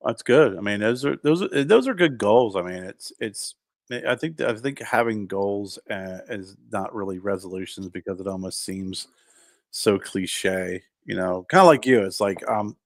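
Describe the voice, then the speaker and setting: A man's voice: lowish voice, lively intonation, normal speed, no room sound, studio quality. A man in his fifties co-hosting a podcast, talking in a reflective, rambling way with many restarts. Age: 50s. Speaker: podcast co-host. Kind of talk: casual chat.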